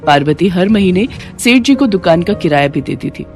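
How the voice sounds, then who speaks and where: A woman's voice: fairly low pitch, animated, moderate pace, darkish tone, soft background music. A woman reading scripted moral-story narration as the storyteller.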